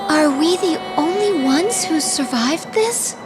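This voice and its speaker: A woman's voice: sits high, expressive, takes it slowly. A woman playing a tender, anguished young warrior princess in a fantasy video game, earnest and emotional. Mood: sorrowful. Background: music.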